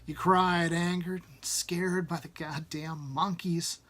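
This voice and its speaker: A man narrates a story in a husky voice at a slow pace. His phrasing is deliberate and dramatic, as an author reading his own work. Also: on the high side, steady tone, a bit bright. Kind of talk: dramatic second-person story narration after casual introduction.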